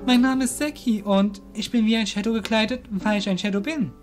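A man's voice: sits high, fairly even intonation, brisk, faint music behind, pitched above the performer's own voice, natural voice. A man voicing an earnest, naive young illusionist, boyish and a little defiant, in a fantasy audio drama. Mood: curious, uneasy.

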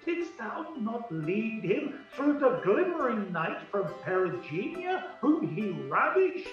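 An imperious, scheming fairy king acted by a man delivers Shakespearean radio verse theatrically. His voice is high for a male and swings dramatically, heard from a distance in very heavy echo with faint music. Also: sing-song, fairly bright tone, heavily acted. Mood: reproachful. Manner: theatrical, commanding, drawn-out delivery. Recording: very echoey, distant, muffled, music behind.

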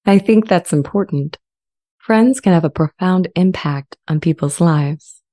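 A woman playing a gentle, earnest artificial intelligence in a dramatized reading, speaking in measured, articulate phrases. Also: fairly low pitch. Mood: curious, gentle. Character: sentient AI language model, earnest, gentle, eager to be accepted. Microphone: close studio microphone with pop shield.

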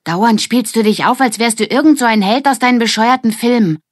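A woman's voice: a little high, a little fast, dry recording, clean studio sound, voice pushed up, natural voice. A woman voicing a feisty, defiant young girl in a children's audiobook, scornful and mocking. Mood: angry scorn.

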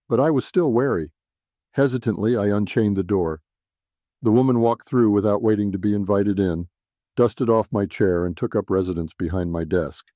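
A male narrator reads a scripted first-person detective story. His voice is fairly low and somewhat mellow. The recording is very dry and close, with little sense of a room. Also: no room sound.

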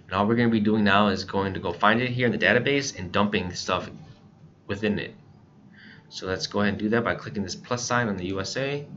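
A man with an American accent and a fairly low voice narrates a tutorial in an informal, step-by-step way. The recording is a little dull, with faint noise behind him. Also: fast talker, a bit bright. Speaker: instructor, scanner shop staff. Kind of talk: casual software tutorial narration. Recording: muffled lo-fi voice-over, faint background noise.

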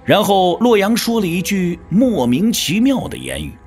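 A man's voice: high voice, wildly swooping pitch, normal speed, a little mellow, soft background music. A man narrating a martial-arts audio drama in a dramatic, scripted storytelling style.

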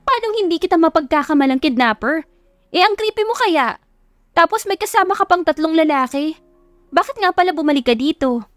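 A woman's voice: extremely high pitch, expressive, brisk, no room sound, soft background music, voice pushed up. A woman voicing a feisty, suspicious young woman who works at an eatery, brash and teasing, in an audio love story. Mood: accusing.

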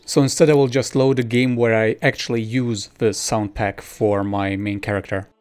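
Man giving a casual software tutorial. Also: on the low side, steady tone, normal speed, smooth, faint music behind. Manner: informal, explaining step by step. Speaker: tutorial presenter.

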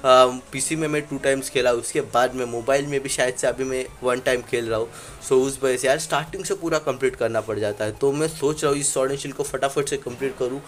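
A man's voice: medium pitch, fairly even intonation, a little fast. A young man casually commentating a handheld game, rambling with frequent fillers and English words mixed in, recorded on a phone. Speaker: gaming channel host. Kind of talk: casual Let's Play commentary.

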